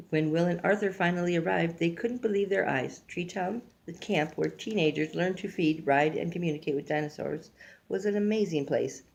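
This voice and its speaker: An older woman reads a picture book aloud like a grandmother, haltingly, stumbling over words and adding casual asides. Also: sits low, gravelly, darkish tone. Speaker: grandmother reading a storybook aloud.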